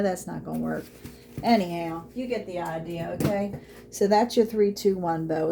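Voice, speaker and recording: A woman's voice: fairly low pitch, warm tone, slight background noise. A woman, losing her voice, chatting through a craft tutorial in an encouraging way. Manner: chatty, encouraging, says y'all a lot. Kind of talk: casual how-to vlog.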